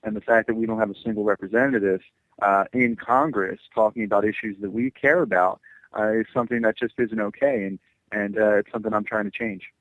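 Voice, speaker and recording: A young man in his twenties from New Jersey, talking as a guest on a radio show. He pauses often and fills his answers with "uh" and "um", and his voice sounds dry and close. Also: on the low side, fairly even intonation, no echo, narrow, tinny audio. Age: 20s. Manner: hesitant, heavy with fillers. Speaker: political candidate guest.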